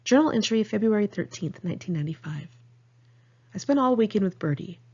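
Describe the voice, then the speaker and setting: A woman's voice: mid-range voice, expressive, fairly quick, a little mellow, slightly rough, lo-fi sound. An American woman reading her own diary-style memoir aloud in a candid, conversational way. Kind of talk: audiobook narration of diary entries.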